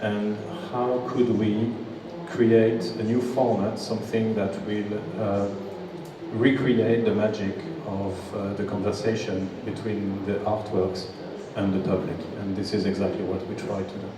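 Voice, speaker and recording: A man answering at a press conference as an executive, halting and full of fillers. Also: on the low side, level tone. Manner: halting, many fillers and restarts. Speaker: nonprofit executive. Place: large historic hall. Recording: echoey hall, distant mic, background noise.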